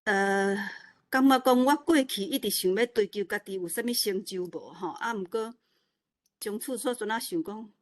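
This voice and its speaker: 台湾口音女性，随性而絮叨. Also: female voice, medium pitch, moderate pace. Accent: Taiwanese. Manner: rambling, repeats words while searching for them. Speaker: new organiser of an online literature event. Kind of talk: informal unscripted self-introduction. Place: online video meeting.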